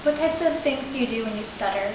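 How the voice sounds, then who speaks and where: A young woman with a fairly high voice asks structured intake questions as a trainee clinician. She is calm and measured, and acknowledges each answer with a quick "okay". The room is very echoey, with a steady hiss. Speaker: student clinician interviewing a client. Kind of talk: scripted clinical interview.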